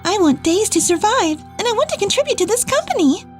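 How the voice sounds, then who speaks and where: A woman's voice: very high-pitched, very animated, brisk, soft background music. A woman playing an earnest, determined young businesswoman in a manga dub, speaking with insistent emphasis. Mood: pleading resolve. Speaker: dub voice actor.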